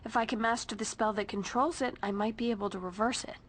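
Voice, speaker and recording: A woman's voice: on the high side, fairly even intonation, quick pace, close to an everyday voice. Eager teen witch voiced by a woman. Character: eager, earnest teenage girl witch. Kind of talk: video game voice acting.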